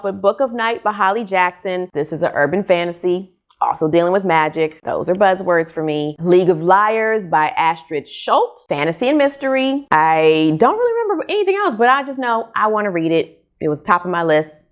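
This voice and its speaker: American female book vlogger, 30s, slightly low voice, informal and expressive delivery, home setting. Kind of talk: casual chat to camera, book review. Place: small furnished room at home.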